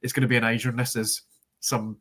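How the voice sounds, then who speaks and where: A man's voice: medium pitch, level tone, fast, fairly bright tone. A man with a cold and a congested voice, chatting in a rambling way with lots of ums on a football podcast. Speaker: podcast co-host. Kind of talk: casual football podcast chat.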